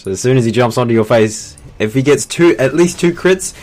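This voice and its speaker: A man's voice: mid-range voice, animated, brisk, darkish tone. A man with a New Zealand accent doing live esports commentary with casual banter.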